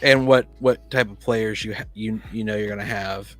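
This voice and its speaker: A man hosting a casual discussion of tabletop games, talking in a halting, rambling way that often repeats words. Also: fairly low pitch, steady tone, a bit bright, faint music behind.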